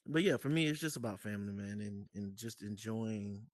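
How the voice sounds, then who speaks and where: A man's voice: on the low side, fairly quick, no room sound. A man chatting as a guest, telling stories in an easy way and laughing between points. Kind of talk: casual group chat.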